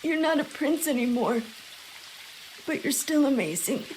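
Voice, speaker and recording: A woman's voice: high-pitched, animated, a little slow, darkish tone, slight background noise, low-quality recording. A woman playing an earnest, boisterous, good-hearted young Japanese boy in an anime audio drama, blunt and eager. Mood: apologetic, moved.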